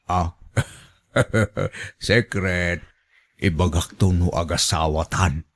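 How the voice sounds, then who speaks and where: A man's voice: low-pitched, fairly even intonation, drawn-out words, full character voice. A man acting in a radio drama as a generous older pensioner who teases the woman he courts, with a chuckling delivery. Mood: teasing, pleased.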